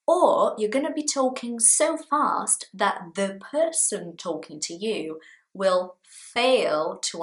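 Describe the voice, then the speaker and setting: Brazilian lady, native portuguese speaker, teaching english real slow and deliberate, explaining to viewers. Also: mid-range voice, a bit bright, roomy sound. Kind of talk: language lesson talking to viewers.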